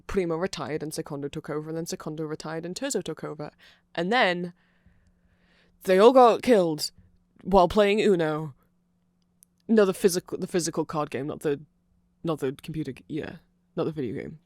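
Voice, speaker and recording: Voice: mid-range voice, moderate pace, pauses often, no room sound. A person giving a chatty, rambling ASMR-style fan explainer in a playful, informal way. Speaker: ASMR creator explaining band lore.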